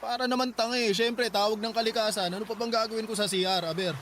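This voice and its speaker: A childish, petulant boyfriend acted by a man in a radio drama, with a very high voice for a man. He whines defensively and quickly over noisy ambience. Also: steady tone, close to an everyday voice. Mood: annoyed. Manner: whining, defensive, dismissive. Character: whiny irresponsible live-in boyfriend, childish and defensive. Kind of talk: Tagalog radio drama acting.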